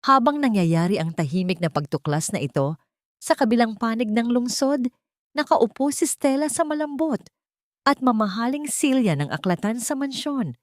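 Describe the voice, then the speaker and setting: A woman's voice: fairly high pitch, expressive, normal speed, no room sound, studio quality. A woman with a Filipino accent reading a dramatic scripted story as a storyteller.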